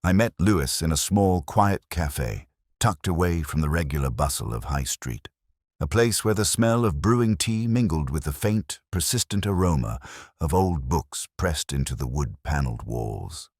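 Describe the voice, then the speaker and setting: Really low male voice narrating a mystery story, measured and literary, pitch moves around a lot, dry close sound. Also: expressive, a little mellow, slightly rough, no room sound. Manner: measured, literary, deliberate phrasing. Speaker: audiobook-style narrator. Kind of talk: scripted first-person detective story narration.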